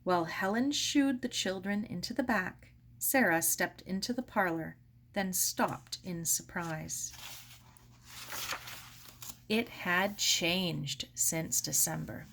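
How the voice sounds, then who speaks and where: Slow-paced woman reading a story aloud. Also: fairly low pitch, vocal fry, fairly bright tone. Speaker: story reader. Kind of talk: scripted storybook narration.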